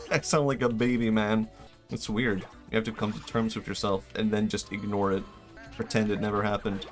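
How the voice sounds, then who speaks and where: A man's voice: fairly low pitch, flat delivery, normal speed, faint music behind. A young man from Sweden, a native Swedish speaker, chatting casually and rambling with self-deprecating laughs while streaming a game. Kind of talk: casual live-stream chat while gaming.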